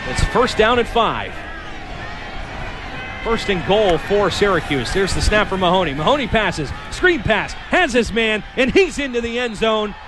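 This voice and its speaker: Casting: American male radio play-by-play announcer, high-pitched voice, calling live action. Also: sing-song, measured pace, background noise. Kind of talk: live sports commentary.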